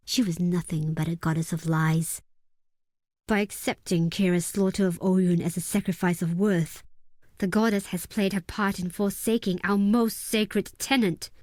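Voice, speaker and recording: A woman playing a fierce, proud and bitter desert tribeswoman in a video game, speaking in solemn, deliberate, formal phrases. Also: medium pitch, a little mellow, no room sound, clean studio sound, lightly acted. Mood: indignant, bitter. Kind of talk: scripted video game character dialogue.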